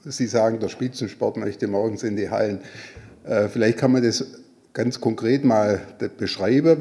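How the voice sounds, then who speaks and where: A man with a fairly low, dark-toned voice speaks off the cuff in a meeting. He sets out his questions deliberately and matter-of-factly, correcting his wording along the way. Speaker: committee member.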